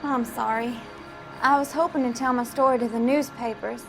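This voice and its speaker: A woman's voice: on the high side, steady tone, normal speed, vocal fry, over loud music. An actress playing a polite, hesitant young woman in her twenties, a demure witness in a crime drama game. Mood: apologetic. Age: 20s. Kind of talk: scripted crime drama acting.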